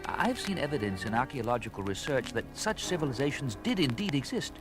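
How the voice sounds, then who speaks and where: An actor playing a learned, courteous ape scientist on the run in a 1970s audio adventure, with erudite, hesitant, formal speech. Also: male voice, mid-range voice, very animated, a little fast, natural voice. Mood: scholarly, earnest. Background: dramatic music.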